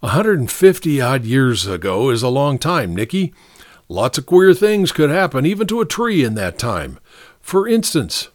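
An eager gentleman detective from a mystery storybook, played by a male reader. He is stubborn and excitable, stressing his points. His pitch is very lively and the recording is dry. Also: normal speed, no echo, lightly acted. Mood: musing. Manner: emphatic, excitable.

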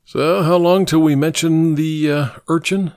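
A man's voice: a little high, steady tone, unhurried, a little mellow, no echo, voice pushed up. A man voicing a practical, teasing woman in short, dry replies. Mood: dry, curious.

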